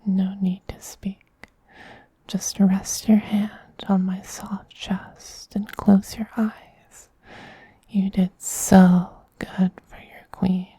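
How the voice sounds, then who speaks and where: An effeminate vampire queen, acted by a man, murmurs in a very breathy, velvety dark whisper for an ASMR roleplay. The delivery is slow, tender and quietly dominant, doting on a beloved pet with soothing, evenly pitched softness. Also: flat delivery, no echo, heavily acted. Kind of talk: soft-spoken ASMR roleplay.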